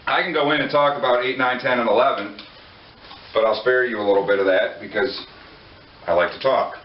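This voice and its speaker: A man's voice: medium pitch, steady tone, brisk, a little hiss or hum. A man preaching a sermon in a conversational way, joking and putting questions to his listeners. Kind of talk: sermon to a congregation. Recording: echoey room, far from mic, dull lo-fi.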